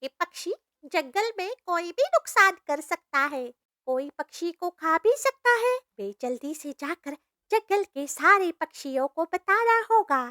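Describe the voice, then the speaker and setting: Woman as cartoon forest bird, tiny high voice, piercing, urgent, scheming, persuasive. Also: lively intonation, measured pace, pushed and bright, no room sound, clean studio sound, heavily acted. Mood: alarmed. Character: squeaky, alarmist forest birds, scheming and pleading. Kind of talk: Hindi cartoon story voice acting.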